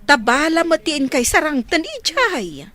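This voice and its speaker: A woman's voice: high-pitched, very animated, unhurried, bright, forceful tone, heavily acted. A woman acting a worried, exclaiming village auntie in a radio drama, with an Ilocano accent. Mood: alarmed, fretting.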